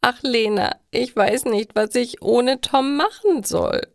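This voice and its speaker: A heartbroken young woman played by a female narrator laments in a storybook reading. Her voice is raised high and emphatic, slow and tearful, in a dry recording. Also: creaky, no room sound. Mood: heartbroken despair.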